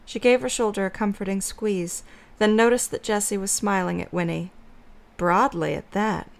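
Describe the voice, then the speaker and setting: A woman narrates a story from a script. Her voice is of middling pitch, and she reads third-person prose as an audio drama narrator. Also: steady tone, normal speed, creaky.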